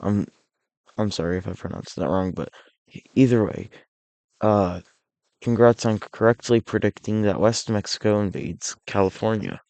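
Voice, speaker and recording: A man narrating his alternate-history map series in a loose, rambling way, sounding a bit raspy and under the weather, often restarting and correcting himself. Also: on the low side, normal speed, a little mellow, dry recording. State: unwell, a bit hoarse.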